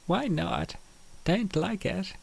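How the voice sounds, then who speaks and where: A man voicing bantering young college women roommates in a comic dub, with a raspy, creaky voice. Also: high voice, slow pace, heavily acted. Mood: casual, bantering.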